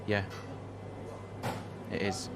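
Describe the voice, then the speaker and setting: An actor playing an earnest, modest young racing driver in a video game, terse and subdued. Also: male voice, very slow, background noise. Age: young adult. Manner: terse, understated. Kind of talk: naturalistic video game cutscene acting.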